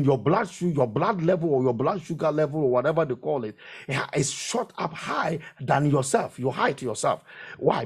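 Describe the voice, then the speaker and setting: A man's voice: a little high, a little mellow, slightly rough. A man preaching a sermon as a preacher, emphatic and exhortatory, repeating phrases to drive them home.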